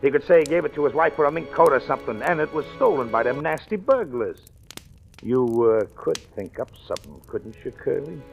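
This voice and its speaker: An actor playing a smug, menacing gangster boss with slangy, mock-friendly wheedling in an old radio crime drama. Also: male voice, medium pitch, animated, brisk, vocal fry, lo-fi sound. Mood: sly, menacing. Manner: wheedling, slangy tough-guy talk. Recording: old radio recording, faint music.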